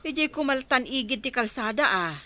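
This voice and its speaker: Radio comedy councilor, down-to-earth delivery, slightly high-pitched. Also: female voice, expressive, a little fast, sharp tone. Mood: inquiring. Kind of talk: Ilocano radio comedy drama acting.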